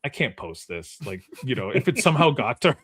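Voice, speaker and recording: A man hosting a podcast in a casual, chatty way, haltingly working his way into questions and repeating words. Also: medium pitch, big pitch swings, fairly bright tone, breathy edge, no room sound. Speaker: podcast host. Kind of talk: casual interview chat.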